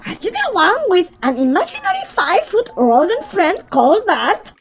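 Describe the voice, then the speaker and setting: High-pitched, swooping comic witch. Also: wildly swooping pitch, normal speed, background noise, heavily acted. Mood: mock solemn. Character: eccentric witch and wise oracle, eager to curse. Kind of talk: comic radio play acting.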